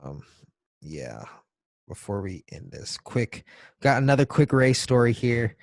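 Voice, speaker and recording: A man's voice: medium pitch, big pitch swings, unhurried, vocal fry, no echo, studio quality. A man co-hosting a podcast in casual, joking chat, slangy and swearing now and then. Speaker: podcast co-host.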